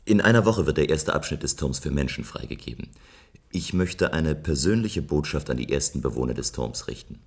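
Brooding inventor in a radio play, really deep dry voice, measured n detached, cold about wrecking stuff. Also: male voice, lively intonation, creaky, husky, no room sound, full character voice. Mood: calculating, evasive. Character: brooding male inventor, coolly destroying his own great tower. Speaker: narrator and lead actor. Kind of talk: German radio drama, first-person narration and dialogue.

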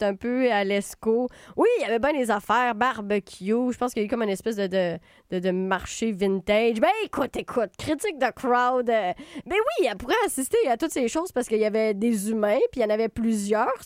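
A Québécoise woman hosts a radio show in a loose, rambling way, full of fillers and self-corrections. Her voice sits a little high and sounds smooth and clean. The studio sound is dry and close. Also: darkish tone, no room sound. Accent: Québécois French. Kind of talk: casual spoken review.

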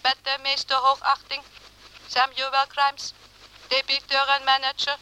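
Sharp, monotone official woman. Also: sits high, unhurried. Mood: coolly threatening. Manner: formal, monotone, mechanical. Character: stiffly courteous, menacing book club debt letter voice. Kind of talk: radio drama letter reading. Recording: noisy old radio recording.

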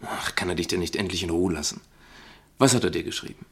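A concerned companion in a radio play, his voice gravelly and breathy. He speaks softly and searchingly, with intimate care. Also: low-pitched, quick pace, exaggerated voice. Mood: worried, protective. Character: concerned, tender male companion of a troubled woman. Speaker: actor in a radio crime drama. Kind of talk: German radio play acting.

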